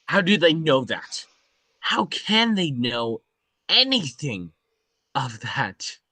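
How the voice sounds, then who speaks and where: A man's voice: on the high side, very animated, slow, bright, forceful tone, no echo, exaggerated voice. A man playing an intense, suspicious agent on the run, interrogating and demanding in a screenplay table read. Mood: pressing, angry.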